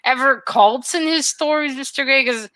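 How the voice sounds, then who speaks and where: Woman co-host just chatting, slightly high voice, curious, bit stop and start. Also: normal speed, sharp tone. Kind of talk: casual chat.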